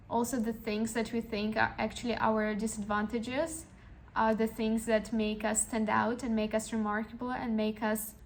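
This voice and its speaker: A woman's voice: on the high side, vocal fry. A woman in her twenties, a podcast host telling a personal story in an earnest, encouraging way with frequent restarts. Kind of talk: reflective personal storytelling monologue.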